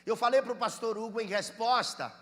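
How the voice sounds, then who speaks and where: A Brazilian pastor from Bahia with a Bahian accent, preaching a sermon, reading scripture slowly and calling on the congregation to answer. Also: male voice, very high-pitched, sharp tone, big-room sound. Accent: Bahian Brazilian Portuguese. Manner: reads scripture slowly and drawn out, urges listeners to respond.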